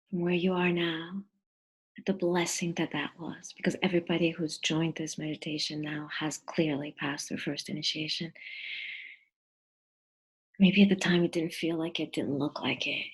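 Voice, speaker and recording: A woman leading a guided meditation in a soft, breathy, husky voice, gentle and deliberate, with pauses between phrases. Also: fairly low pitch, level tone.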